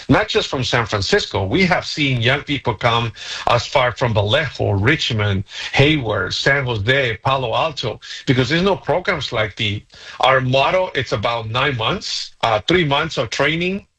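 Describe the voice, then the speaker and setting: A man in his forties from Guatemala, a guest on a radio interview, talking about his nonprofit in an earnest, steady way. His voice is bright and forceful, at an average pitch. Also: slightly rough, low-quality recording.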